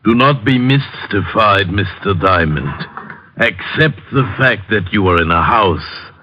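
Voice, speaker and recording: An actor playing a creepy, solemn butler with a formal, ominous manner in an old radio detective drama. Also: male voice, lowish voice, animated. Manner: slow, ominous, deferentially formal. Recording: muffled, noisy old radio recording.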